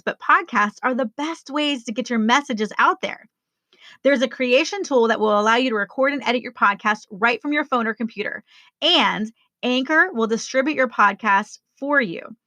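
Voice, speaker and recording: A woman's voice: fairly high pitch, sharp tone, dry recording. A woman reading a sponsor message in an enthusiastic way, talking straight to her listeners. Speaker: sponsor ad reader. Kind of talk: upbeat sponsor read.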